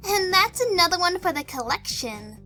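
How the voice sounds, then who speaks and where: A young cartoon girl pony with a very high, bright voice that leaps around expressively. She is sassy and exclamatory, in the style of a fan audio drama. Music plays softly behind her. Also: very animated, moderate pace, heavily acted. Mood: girlish, teasing.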